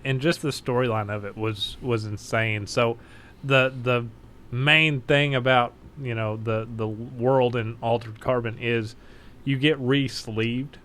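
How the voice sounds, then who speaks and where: A man's voice: medium pitch, steady tone, a little slow, a bit bright. A man co-hosting a casual podcast chat, laid-back and swearing freely when he gripes. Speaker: podcast co-host.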